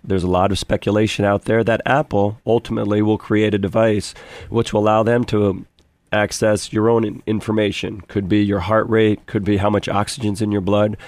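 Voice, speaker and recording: A hoarse man, a physician guest, speaking in a polished, promotional way during a radio studio interview. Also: on the low side, darkish tone, no room sound.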